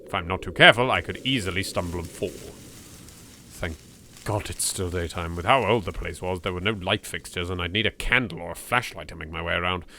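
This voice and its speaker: A man's voice: deep voice, brisk, vocal fry, bright, forceful tone, a little hiss or hum. A British man doing a let's play, reading horror game text aloud with theatrical expression and dropping in his own sweary asides. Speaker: video game let's player.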